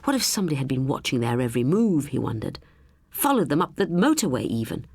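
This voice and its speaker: A woman reading a suspense story as an audiobook narrator, in an expressive, measured storytelling manner. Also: extremely low pitch, husky, no echo, clean studio sound.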